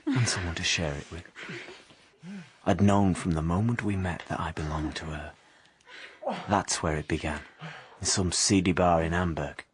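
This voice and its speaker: A man's voice: sits low, moderate pace, lightly acted. An actor playing a moody young working-class drifter who narrates and lives out his story in a radio drama. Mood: haunted, reflective.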